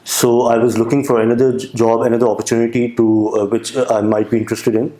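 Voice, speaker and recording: A young man with a Pakistani accent, answering interview questions with a hesitant manner, full of pauses and restarts. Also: medium pitch, level tone, mellow, roomy sound, poor audio. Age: young adult. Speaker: guest entrepreneur.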